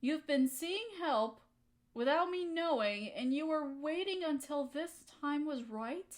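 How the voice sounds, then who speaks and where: A woman acting a hurt young woman softening toward her best friend, in an intimate roleplay audio drama, emotional and halting. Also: sits high, animated. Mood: hurt, confrontational.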